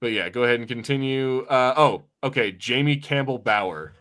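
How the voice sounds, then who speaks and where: A man's voice: medium pitch, fairly quick, fairly bright tone. A man with a mid-range voice co-hosts a pop-culture podcast. He talks in a casual, bantering, conversational way. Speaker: podcast co-host.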